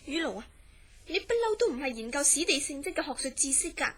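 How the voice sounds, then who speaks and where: Actress as high-voiced, concerned Cantonese female friend. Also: very animated, fast talker, fairly bright tone. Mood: curious, concerned. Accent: Hong Kong Cantonese. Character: concerned, inquisitive female friend of a troubled dreamer. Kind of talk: Cantonese radio horror drama acting.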